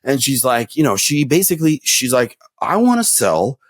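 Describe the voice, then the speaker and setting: A man's voice: medium pitch, normal speed, dry recording. A man telling stories in a casual podcast conversation, with many fillers and restarts. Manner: storytelling, animated, many fillers.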